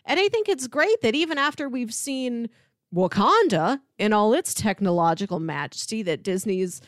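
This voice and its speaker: A woman's voice: on the high side, expressive, moderate pace, no room sound, studio quality. A woman co-hosting a casual podcast, chatting in an enthusiastic, effusive way. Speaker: podcast co-host.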